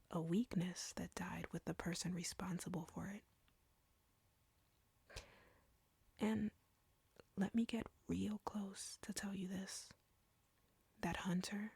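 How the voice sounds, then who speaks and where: Woman doing a slow whispery vampire lady, arrogant n flirty, menacing, lots of creak, intimate roleplay. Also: lowish voice, a little mellow, no room sound, heavily acted. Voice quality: breathy, whispery. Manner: slow, deliberate, taunting.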